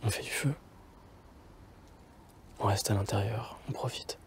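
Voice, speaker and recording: An actor playing a lonely young man cut off from his family, talking softly and half in whispers. His voice sits low, with a faint hiss of noise behind it. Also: unhurried, close to an everyday voice. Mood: wistful. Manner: quiet, half-whispered, reflective. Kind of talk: hushed conversation, film drama.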